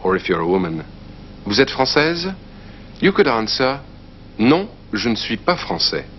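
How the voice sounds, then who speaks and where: A man presenting a language course in a clear, measured teaching voice. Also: mid-range voice. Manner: clear, measured teaching delivery with lively intonation. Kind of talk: scripted educational narration. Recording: dull, slightly noisy TV soundtrack.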